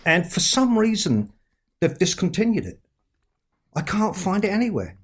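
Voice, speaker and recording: A man's voice: sits high, sing-song, moderate pace, slightly rough. A middle-aged man from England with a London accent, an author chatting on a podcast over a video call, teasing and laughing as he talks. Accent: London English. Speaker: author guest. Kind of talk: casual podcast chat.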